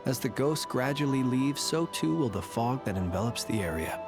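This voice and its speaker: A man plays a courtly lighthouse keeper from a fantasy game, in a mid-pitched voice. He speaks formally and with measured, old-world politeness. Music is clearly heard underneath. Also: expressive, lightly acted. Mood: calm, reassuring. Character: courtly, composed gentleman lighthouse keeper of haunted island. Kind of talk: video game cutscene voice acting. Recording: music behind the dialogue.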